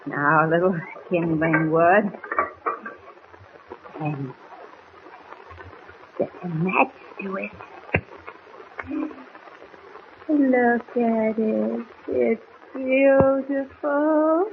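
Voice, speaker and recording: A half-mad woman villain in an old radio thriller. She speaks slowly and deliberately, with bitter, mocking menace and melodrama. The recording is tinny and phone-like, with clear noise. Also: fairly high pitch, heavily acted. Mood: crazed gloating. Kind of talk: 1940s radio acting.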